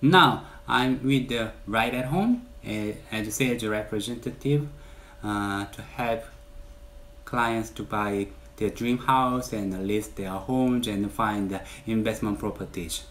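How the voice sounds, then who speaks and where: A man in his forties from South Korea with a Korean accent, speaking earnestly and in measured phrases to camera as he introduces his channel as a realtor, in a bright indoor room. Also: fairly low pitch, a little hiss or hum. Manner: earnest, measured, with stressed lifts on key words.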